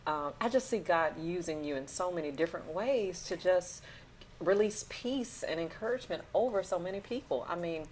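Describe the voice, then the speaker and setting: Low-voiced woman host, faint hiss. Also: animated, normal speed, darkish tone. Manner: enthusiastic, laughing asides. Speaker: podcast host. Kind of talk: casual interview.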